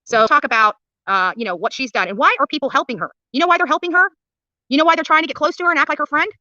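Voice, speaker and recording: A woman's voice: high voice, rapid-fire, sharp tone, no room sound, muffled recording. A woman livestreaming angry commentary in a blunt, indignant way, cursing and repeating phrases for emphasis. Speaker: livestreamer at a street protest. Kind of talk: angry live commentary and casual chat.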